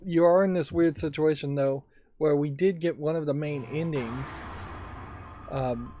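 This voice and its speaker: A man's voice: fairly high pitch, a little slow, warm tone, a little hiss or hum. A man giving let's play commentary, thinking aloud in a musing, tentative way.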